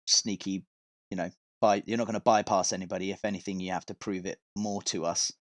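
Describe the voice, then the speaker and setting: A man with a fairly low-pitched voice talks as a guest on a podcast. He tells stories in a loose, rambling, informal style with some swearing. The sound is dry, with very little room on it. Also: level tone, fairly quick, dry recording. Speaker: podcast guest, touring metal vocalist and tour manager. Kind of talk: casual podcast chat, telling stories from touring.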